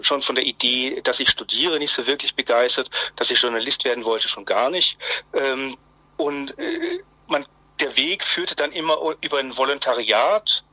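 Bright, forceful man in his fifties. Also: medium pitch, no room sound, low-quality recording. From Swabia, Germany. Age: 50s. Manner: halting, repeats words while searching for phrasing. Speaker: interview guest, journalist. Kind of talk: conversational interview answers.

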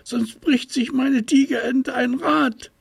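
A man voicing a small, excitable boy tiger in a children's radio story, speaking high and eager. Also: slow, creaky, husky, no echo, full character voice. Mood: excited.

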